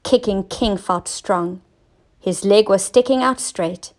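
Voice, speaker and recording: A woman reads a children's story like a teacher to young listeners. Her delivery is unhurried and expressive, each word carefully enunciated, in a medium-pitched voice. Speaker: teacher reading stories to children. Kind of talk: scripted children's story narration.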